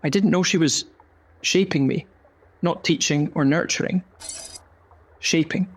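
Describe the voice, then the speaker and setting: Man voicing a vulnerable young magical creature, higher-pitched. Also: measured pace. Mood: pained realization. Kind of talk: scripted fantasy audio drama.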